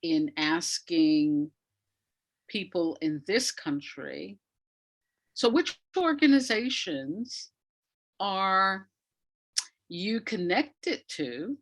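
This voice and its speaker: A woman with a fairly low voice speaks at a very unhurried pace as an interview guest. She is reflective and emphatic, leaving pauses between phrases as she explains her views conversationally. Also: very animated. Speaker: interview guest, arts practitioner.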